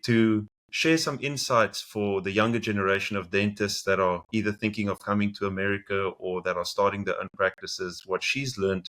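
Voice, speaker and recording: A man's voice: on the low side, steady tone, normal speed, hardly any pauses, a bit bright. A man with a South African accent hosting an interview and encouraging his guest, with small restarts. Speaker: podcast host.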